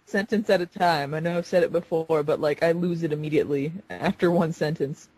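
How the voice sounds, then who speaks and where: A man's voice: a little high, a little fast, a little mellow, no room sound, poor audio. A man co-hosting a voice-call chat, teasing and joking with the guests. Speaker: co-host. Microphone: video call.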